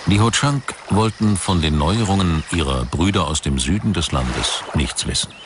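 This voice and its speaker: A male documentary narrator with a low voice reads from a script. His delivery is measured and articulate, and there is clearly audible ambient noise behind him. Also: animated.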